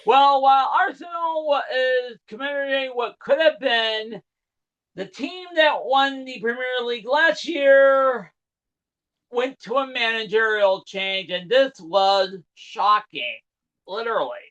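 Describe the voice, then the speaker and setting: Bright, high-voiced man in dull lo-fi audio. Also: a little slow. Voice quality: big voice. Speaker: sports show co-host. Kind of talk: casual sports recap chat. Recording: dull, lo-fi sound.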